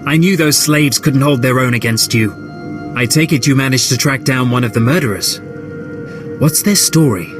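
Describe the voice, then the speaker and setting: A man's voice: medium pitch, moderate pace, music in the background, lightly acted. A man voicing a disdainful imperial officer in a video game, deferential as he briefs his lord. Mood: approving. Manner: contemptuous, briefing a superior.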